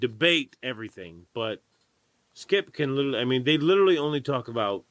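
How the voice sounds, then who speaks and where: A man's voice: medium pitch, sing-song, brisk, fairly bright tone, no echo. A man in his thirties co-hosting a casual podcast, bantering in a wry, laid-back way. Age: 30s. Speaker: podcast co-host. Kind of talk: casual chat.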